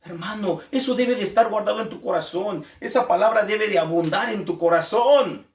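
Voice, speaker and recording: A man's voice: high voice, normal speed, echoey room. A man preaching a fervent sermon, repeating phrases and calling his listeners brothers.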